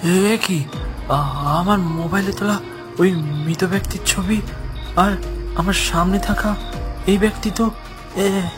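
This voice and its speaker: A man voicing an excitable young male news reporter in a horror cartoon, startled and full of questions. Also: high-pitched, a little slow, long pauses, over music, pitched above the performer's own voice. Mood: alarmed.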